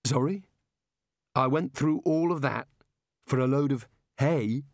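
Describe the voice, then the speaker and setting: A man's voice: mid-range voice, takes it slowly, no room sound, studio quality. A man doing a nervous, cowardly wizard. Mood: plaintive. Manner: anxious, plaintive.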